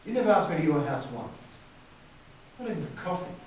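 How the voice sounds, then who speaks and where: A man's voice: on the high side, expressive, fairly quick, a little mellow, lightly acted. A man acting an indignant, wounded, sarcastic younger brother in a stage drama. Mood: hurt, defiant. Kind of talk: amateur stage play acting. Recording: echoey room from far off, faint noise.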